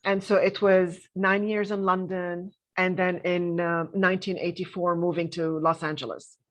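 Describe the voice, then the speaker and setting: A woman in her fifties, born in Lebanon with Arabic as her first language, telling her life story as a podcast guest in a reflective way, with many pauses and self-corrections. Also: fairly low pitch, flat delivery. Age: 50s.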